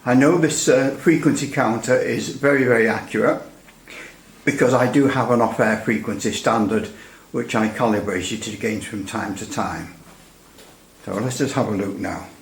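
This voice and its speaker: An echoey indoor recording of a man with a mid-pitched voice. He talks through a technical demonstration casually, rambling and hesitating as he goes, with a dry sense of humour. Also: moderate pace, slight background noise. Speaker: radio hobbyist presenting a repair demonstration. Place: indoors at a workbench. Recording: echoey room.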